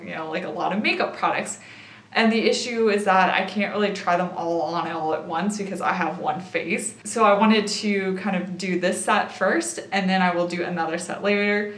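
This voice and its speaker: A young woman talks through her makeup haul in a relaxed, chatty way, thinking aloud as she tries things on. Her voice is a little raspy and tired from a late night, pitched in an ordinary middle range. The room around her adds a noticeable echo. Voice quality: slightly raspy. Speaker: beauty vlogger. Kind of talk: casual makeup haul and try-on.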